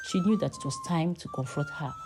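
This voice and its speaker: A woman's voice: low voice, fairly quick, over music. A woman storyteller reading a scripted folktale in a dramatic narrating style.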